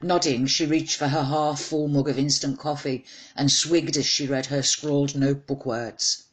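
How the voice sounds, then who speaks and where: A woman's voice: low-pitched, steady tone, moderate pace, husky. Woman reading a wry short story. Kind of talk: scripted storytelling narration.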